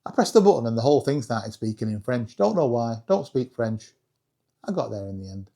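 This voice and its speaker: A British man with a husky voice giving a casual, chatty hobby review with self-deprecating humour. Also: medium pitch, very animated, fairly quick, dark tone. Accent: British English. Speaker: video creator reviewing radios.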